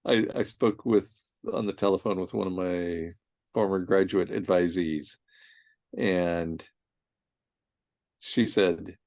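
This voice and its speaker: A man in his sixties hosting a casual conversation, telling stories in an animated way and laughing often. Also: low voice, takes it slowly, long pauses, dry recording, clean studio sound. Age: 60s. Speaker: host.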